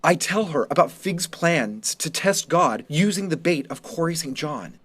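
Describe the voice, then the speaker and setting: Male narrator for first-person teen fiction, voicing a wounded, resentful student; pitch a little above average. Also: lively intonation, a little slow, a bit bright, breathy edge. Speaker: reader of a short story.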